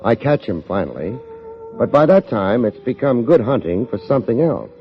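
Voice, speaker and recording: An American actor playing a wry, hard-boiled male private detective in the style of 1950s radio drama, wisecracking in a deadpan way. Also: medium pitch, normal speed, faint music behind. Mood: wry narration. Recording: dull lo-fi old radio recording.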